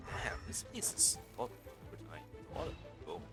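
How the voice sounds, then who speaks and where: A man's voice: low-pitched, huge pitch swings, brisk. A man giving casual live let's-play commentary, muttering and thinking aloud as he plays. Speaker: game streamer. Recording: loud game music underneath. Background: loud game music.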